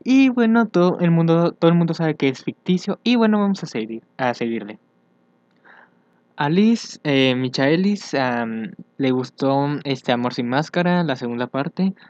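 A man's voice: on the high side, expressive, measured pace, darkish tone, no room sound. Hesitant young man, dull lo-fi mic. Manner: hesitant, thinking aloud. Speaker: fandub YouTuber. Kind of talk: casual reaction vlog, reading his social media aloud. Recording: dull, lo-fi home microphone.

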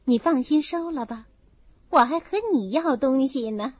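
A woman's voice: high-pitched, unhurried, slightly rough, dry recording. A woman voicing a modest, friendly young maid in an audiobook, explaining things politely and in good order. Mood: friendly, insistent. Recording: dull, muffled audio.

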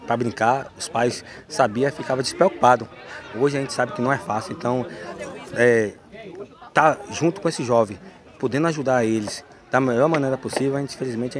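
Man in his 40s, earnest coach, hesitant, crowd noise from a football ground behind him. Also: mid-range voice. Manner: earnest, hesitant with many fillers. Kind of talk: outdoor interview. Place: stadium stands outdoors. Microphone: handheld mic. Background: crowd at a youth football match.

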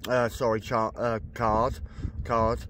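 A man's voice: medium pitch, flat delivery, takes it slowly, a bit bright. A man on a small boat outdoors, giving a casual, rambling review of his chart plotter, with fillers and self-corrections. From England. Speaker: fishing vlogger. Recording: outdoor noise on the water.

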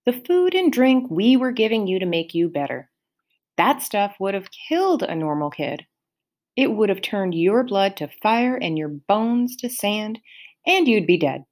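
A woman reading aloud and voicing a confident teenage girl who explains things in an earnest, quick way. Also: medium pitch, very animated, a little mellow. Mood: insistent. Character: confident teenage girl camper, know-it-all.

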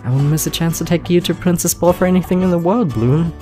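Woman as a low-toned devoted teen boy. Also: quick pace, warm tone, natural voice. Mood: tender. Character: devoted, earnest teenage boy hero. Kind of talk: animated cartoon fan dub. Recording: faint music behind the voice.

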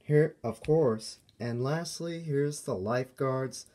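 A man giving a casual, unscripted show-and-tell as a toy reviewer, speaking in halting, broken phrases. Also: medium pitch, warm tone. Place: indoors at a table by a window.

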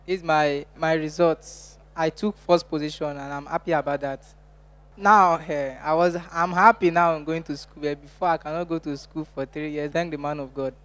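A seventeen-year-old boy with a Nigerian accent gives a somewhat halting testimony as a student. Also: a little high, steady tone, fairly bright tone.

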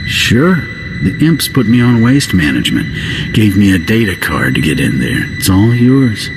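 A man's voice: on the low side, big pitch swings, moderate pace, background noise. A man acting a wily old resistance fighter in a video game, casual, wry and folksy. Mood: wry, sly. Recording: music behind the voice.

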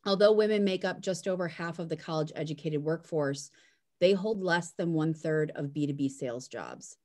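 A woman's voice: deep voice, normal speed, a little mellow. A middle-aged woman hosting a webinar panel over a video call, chatty and self-deprecating as she introduces the guests. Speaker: host and moderator. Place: home room, indoors.

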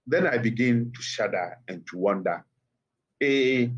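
A middle-aged man with a Ghanaian accent, a guest speaking by phone in a TV interview, measured and emphatic with pointed rhetorical questions. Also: on the low side, low-quality recording. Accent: Ghanaian English. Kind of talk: TV interview commentary. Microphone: phone line.

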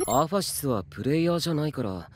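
An actor playing a calm, analytical teenage swordsman in an anime game, speaking seriously and explaining things in a composed way. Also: on the high side, racing, slight background noise, natural voice. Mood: serious, thoughtful. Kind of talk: Japanese anime game voice acting.

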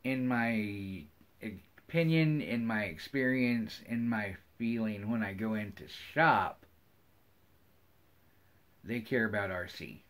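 A man's voice: lowish voice, slow pace, pauses often, a bit bright. A middle-aged man with an American accent chats casually to viewers as a hobby vlogger, rambling in a folksy way and often repeating his phrases. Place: wood-paneled room at home, indoors.

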